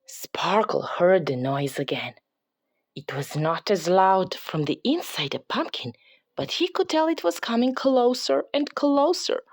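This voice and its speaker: Expressive, slow-paced woman reading a storybook. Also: medium pitch, no echo, clean studio sound. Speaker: storybook reader. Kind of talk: expressive children's read-aloud narration.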